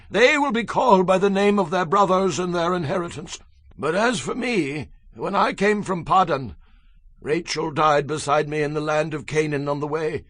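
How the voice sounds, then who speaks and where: An actor playing a frail, dying old Hebrew patriarch in a dramatized scripture reading, tender and solemn, with pleading, drawn-out phrases. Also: male voice, on the high side, no room sound.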